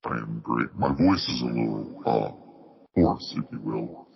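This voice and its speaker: Casting: a very deep, fried, raspy hoarse persona on a lo-fi podcast. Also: male voice, expressive, a little slow, exaggerated voice. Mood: gruff. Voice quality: deep gravelly rasp. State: hoarse from screaming. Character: gravelly-voiced hoarse male alter ego "Chuck L Charlie". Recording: dull lo-fi podcast audio.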